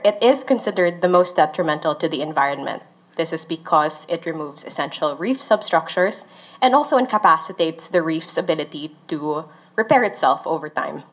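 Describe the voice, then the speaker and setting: A woman from the Philippines, a research associate, calmly explaining findings with occasional fillers. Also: low voice, husky. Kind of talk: explanatory interview talk.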